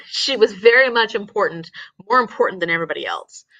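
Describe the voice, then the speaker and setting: A woman's voice: mid-range voice, animated, fast, bright, forceful tone, dry recording, low-quality recording. A woman hosting a casual live book discussion, thinking aloud and often posing questions. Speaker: teacher.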